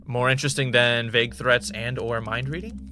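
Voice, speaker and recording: A man's voice: mid-range voice, steady tone, brisk, sharp tone, close to an everyday voice. A man playing an anxious, sarcastic investigator in an audio drama. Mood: wry, uneasy.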